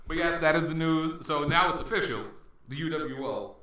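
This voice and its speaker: A man's voice: a little high, normal speed, pushed and bright. A man co-hosting a podcast, teasing and hyping in casual banter. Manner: playful teasing, hype delivery. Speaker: podcast co-host. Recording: very echoey room.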